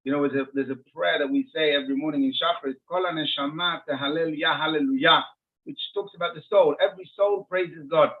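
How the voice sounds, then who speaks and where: A man from Israel with a slightly high voice speaks as a rabbi being interviewed. The sound is thin and muffled, like a phone line. He tells stories in a conversational way, circling back to rephrase his points. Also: fast talker. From Israel, born and raised in Jerusalem. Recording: muffled phone-like narrowband audio.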